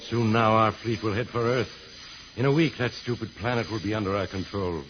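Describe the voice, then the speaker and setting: An actor playing a ruthless, boastful space warlord with menacing, commanding delivery in an old-time radio serial. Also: male voice, lowish voice, a little fast, close to an everyday voice. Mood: gloating menace. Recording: dull old radio recording, crowd noise.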